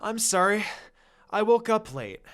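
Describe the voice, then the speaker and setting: A man reads as a devoted teenage girl wizard in a fan-made story. The delivery is sincere and pleading, fairly high and lively in its movement. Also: measured pace, smooth, full character voice. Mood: apologetic.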